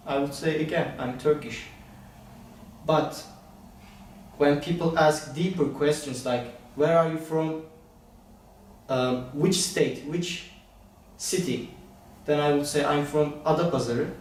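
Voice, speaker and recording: A young man answering interview questions calmly and reflectively, telling his own story. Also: fairly high pitch, a little hiss or hum. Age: young adult. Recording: very echoey room, distant mic, faint ambience.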